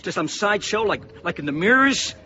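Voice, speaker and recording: A man's voice: high-pitched, animated, bright, forceful tone. Determined father, widely swinging delivery. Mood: taunting, firm. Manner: emphatic, deliberate, dramatic. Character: brave middle-aged father, tender yet grimly determined. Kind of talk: radio drama acting. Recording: muffled radio recording with background noise.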